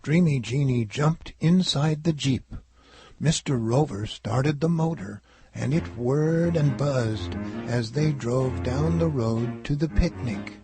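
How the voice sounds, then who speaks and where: A man's voice: medium pitch, slow, dark tone. A man narrating a children's story as a read-aloud. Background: music.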